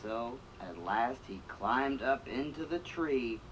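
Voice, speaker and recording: A storybook narrator reading a children's read-along in slow, animated storytelling. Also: mid-range voice, a little hiss or hum, poor audio. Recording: 1980s read-along record with funky music. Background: funky 80s music track.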